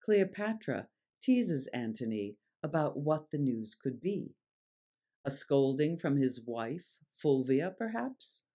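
A man's voice: a little high, very animated, takes it slowly, mellow. A man reading scripted, explanatory introductions as a narrator.

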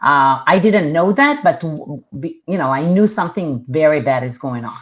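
A woman's voice: low voice, very animated, normal speed. A middle-aged woman from Israel whose first language is Hebrew, chatting as a guest in an interview, with lots of fillers and restarts. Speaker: realtor guest. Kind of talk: casual interview talk. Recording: dull lo-fi sound.

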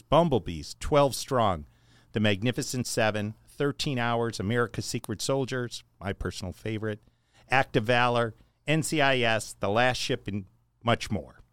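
A man hosting a podcast, reading out a guest's introduction in a measured, list-like way, then chatting. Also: mid-range voice, fairly bright tone, smooth, no echo, clean studio sound. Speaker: podcast host.

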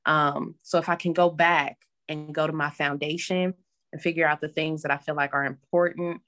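Woman w a low voice, advice columnist, thinks out loud, lots of ums. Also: steady tone, normal speed. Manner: reflective, hesitant with fillers. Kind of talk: interview answers.

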